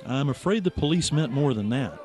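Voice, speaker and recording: An actor playing a blunt, dogged writer in a radio drama, speaking in a direct, questioning and insistent way. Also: male voice, mid-range voice, expressive, a little fast, a little mellow, soft background music, close to an everyday voice. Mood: guarded. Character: dogged, blunt American writer probing a friend's death.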